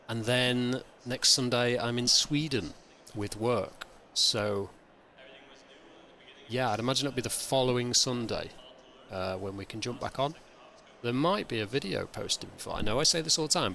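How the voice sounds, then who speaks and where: Casting: male streamer, croaky and hungover, gravelly low voice with a bright edge, rambling as he thinks aloud. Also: a little slow. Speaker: sim racing streamer. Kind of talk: casual live-stream commentary.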